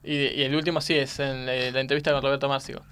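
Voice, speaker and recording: A man's voice: medium pitch, level tone, fast talker, fairly bright tone, clear, resonant tone, dry recording. A man with an Argentine accent co-hosting a comedy radio show, bantering casually and announcing segments.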